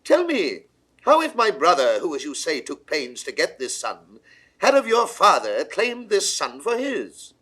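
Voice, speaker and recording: A commanding British monarch in a classical 1960s audio drama. His pitched-up delivery is highly animated and declamatory. He speaks with shrewd, regal authority. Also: measured pace, husky, heavily acted. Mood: judicial, measured. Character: imperious, shrewd medieval king of England.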